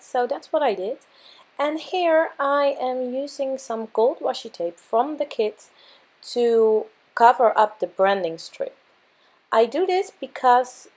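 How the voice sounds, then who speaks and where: A young woman with a hoarse, worn-out voice, casually narrating her craft process as a channel host, with frequent fillers and self-corrections. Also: on the high side, a little mellow.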